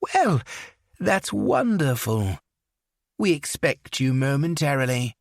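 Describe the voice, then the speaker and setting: A man's voice: medium pitch, very animated, slow pace, no room sound, clean studio sound, pitched above the performer's own voice. A man voicing an unhinged, menacing young man who slips into a put-on English accent. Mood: mocking menace.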